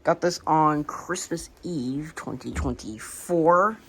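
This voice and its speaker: An American woman casually showing a hobby collection, speaking in a halting, unscripted, plain way on an amateur home recording. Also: sits low, slightly rough, poor audio. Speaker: radio collector presenting the collection. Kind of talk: casual hobby show-and-tell narration.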